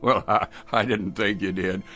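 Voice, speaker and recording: A man's voice: on the low side, fairly even intonation, normal speed, bright, forceful tone, faint music behind. An older man acting in a television drama as a stubborn, sly retired clockmaker with a wry, evasive way of talking. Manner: wry, evasive, answers questions with questions.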